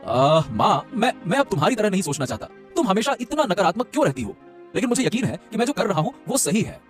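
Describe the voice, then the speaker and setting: A man plays an earnest young husband in a moral-story cartoon. His high, very animated voice pleads and reasons with some hesitation, quickly, over music. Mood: pleading, insistent.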